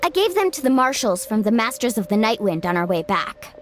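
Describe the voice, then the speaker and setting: A woman voicing an upbeat, friendly young girl in a video game, with a bubbly, expressive delivery. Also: a little high, a little fast. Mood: friendly, eager. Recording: music behind the voice. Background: music.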